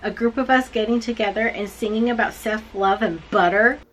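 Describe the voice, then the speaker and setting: A woman in her forties recapping books she has read to camera, blunt, animated and self-mocking. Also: on the high side, moderate pace, very noisy. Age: 40s. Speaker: book reviewer. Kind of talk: casual book recap to camera.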